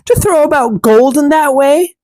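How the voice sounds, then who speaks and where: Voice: high voice, normal speed, a little mellow, dry recording, studio quality, pitched above the performer's own voice, natural voice. A storyteller voicing a spoiled, envious young stepsister with a rude, haughty, sulky manner in a fairy tale.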